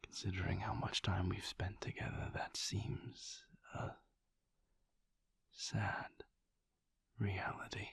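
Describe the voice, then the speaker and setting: A man playing a mysterious, brooding vampire lover in an intimate audio roleplay, tender and hesitant, with halting, formal speech in a husky, breathy whisper. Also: lowish voice, huge pitch swings, fairly bright tone, dry recording, full character voice. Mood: hesitant, wistful. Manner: halting, measured, formal wording.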